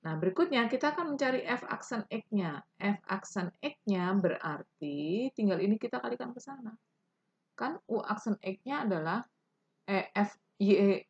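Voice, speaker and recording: A woman's voice: medium pitch, husky, lo-fi sound. A woman teaching a math lesson, explaining each step patiently and answering imagined student questions.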